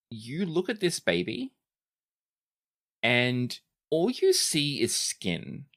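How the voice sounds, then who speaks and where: A man runs a tabletop role-playing game as game master. His narration is improvised and conversational, with small hesitations and very animated, mid-range pitch.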